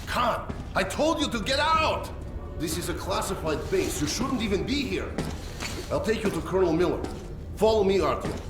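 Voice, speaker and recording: An actor playing an irritable, foul-mouthed, impatient soldier in a video game, barking and exasperated. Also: male voice, sits high, big pitch swings, normal speed. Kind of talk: dramatic video game dialogue. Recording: loud music behind the voice.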